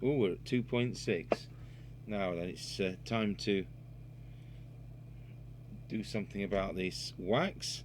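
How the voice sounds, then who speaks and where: A man's voice: on the low side, takes it slowly, pauses often, slight background noise. A man giving a casual, unscripted hands-on repair demonstration, musing aloud as he works. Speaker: radio repair hobbyist and video presenter.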